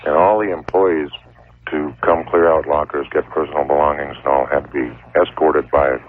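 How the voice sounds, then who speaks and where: A middle-aged man speaks very low as a phone-in caller. He lays out his problem deliberately, with halting pauses. The line is tinny and narrow, with faint noise from an old radio tape. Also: fairly even intonation.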